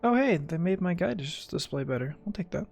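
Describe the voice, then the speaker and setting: A man's voice: sits high, lively intonation, fairly quick, creaky, a little mellow, faint music behind. A man doing casual gameplay commentary as a streamer, swearing and muttering to himself in short fragments. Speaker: video game streamer.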